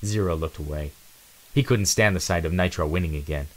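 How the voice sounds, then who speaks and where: A man's voice: low-pitched, fairly quick. A man giving a dramatic scripted reading of an adventure storybook as narrator.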